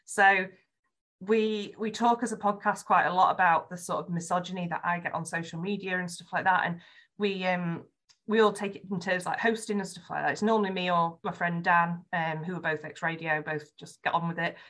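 Frank Yorkshire woman, slightly low voice. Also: steady tone, fairly quick, a bit bright. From Yorkshire, England. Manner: frank and earnest, with frequent ums. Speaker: podcast guest, football fan and podcaster. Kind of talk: candid conversational interview.

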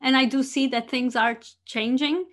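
A woman's voice: high voice, steady tone, moderate pace. A woman in her thirties from Portugal, hosting a casual interview and talking earnestly in short reflective bursts. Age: 30s. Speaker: podcast host.

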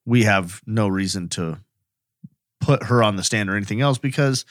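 Male podcast co-host. Somewhat low register, informal chatty delivery, dry close recording. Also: moderate pace, no echo. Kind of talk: casual true crime podcast chat.

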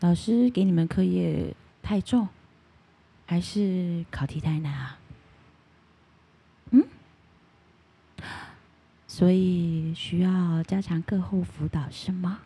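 A woman playing a flirtatious mature lady teacher in ASMR roleplay, speaking slowly and coaxingly with a husky, sometimes whispery voice. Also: fairly low pitch, mellow, full character voice. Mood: teasing, seductive. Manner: slow, seductive, coaxing, drops into whispers. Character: flirtatious mature big-sister female teacher, teasing her students.

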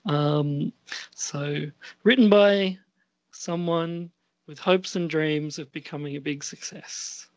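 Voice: medium pitch, takes it slowly, darkish tone, dry recording, poor audio. A podcast co-host chatting casually in a hesitant, self-deprecating way. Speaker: co-host and script writer. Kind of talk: casual chat.

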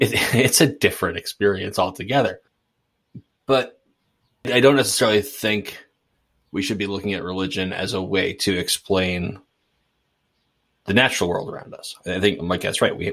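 Deep-voiced, thoughtful, hesitant man. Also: fairly bright tone. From suburban Boston. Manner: thoughtful, hesitant, restarts often. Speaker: podcast co-host. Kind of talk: casual discussion.

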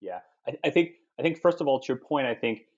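A man's voice: mid-range voice, expressive, fast, highs cut off. A man from Europe, a podcast guest speaking thoughtfully, often restarting and repeating words as he thinks. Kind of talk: podcast conversation.

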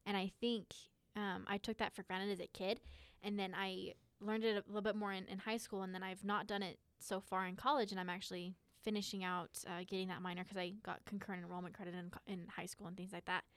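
A young college woman talks eagerly and earnestly in a casual podcast chat. Her pitch sits in the middle range. The recording is dry and close-miked. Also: flat delivery, fast, dry recording. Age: young adult. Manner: enthusiastic, talkative, earnest.

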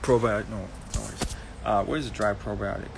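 A man's voice: fairly low pitch, steady tone, fairly quick, creaky, noisy background. Chatty man answering questions. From Germany. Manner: chatty, explanatory, many ums and restarts. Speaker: host. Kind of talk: casual live Q&A, answering viewer questions.

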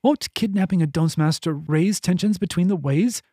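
A man voicing an earnest young lad who pleads in a gentle, principled way. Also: sits high, moderate pace, husky, no echo, studio quality, voice pushed up.

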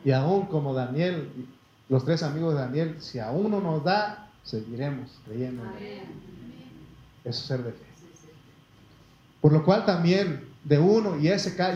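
A Mexican-accented man preaching, his slightly high, mellow voice ringing in an echoey room. He reads Scripture and talks to the congregation in a homely way, calling them brother. The recording sounds a little muffled, with faint ambient noise. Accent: Mexican Spanish. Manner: folksy, addresses listeners as brother, asks for amens. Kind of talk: sermon with Bible reading.

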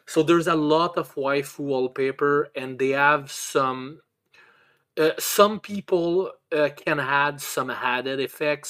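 A man hosting a casual chat in a halting, stammering way, with blunt swearing. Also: mid-range voice, fairly bright tone. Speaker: podcast host.

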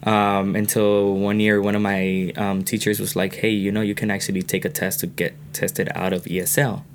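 A young man from California talking earnestly on a podcast, getting animated as he tells his own story. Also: on the low side, moderate pace, slight background noise. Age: young adult. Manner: earnest, animated when retelling. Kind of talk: casual podcast conversation.

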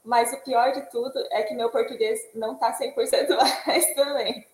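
A woman from Brazil chats as an interview guest over a video call, her voice sitting a little high. She speaks in an easygoing way and laughs often between sentences. The room around her rings with a noticeable echo. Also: steady tone, normal speed. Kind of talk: casual interview chat.

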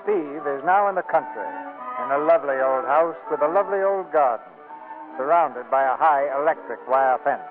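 A man's voice: on the high side, moderate pace. A man hosting a vintage radio drama, narrating the scripted scene in a theatrical presenter's style. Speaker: host and producer. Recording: tinny vintage radio broadcast. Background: music.

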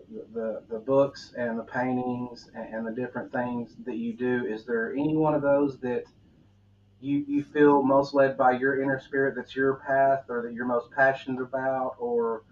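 A man hosting a podcast, asking questions in a halting way with frequent restarts and fillers. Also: mid-range voice, far from the mic, lo-fi sound. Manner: halting, restarts often, many fillers, affirming with "right". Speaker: podcast host. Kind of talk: conversational interview.

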